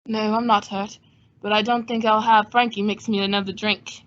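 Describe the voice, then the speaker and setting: A woman's voice: mid-range voice, level tone, normal speed, a bit bright, close to an everyday voice. A woman playing a suave, wisecracking male adventurer-detective in an old-time radio drama, with a wry, composed, teasing manner. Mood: wry, cool. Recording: dull, muffled lo-fi sound.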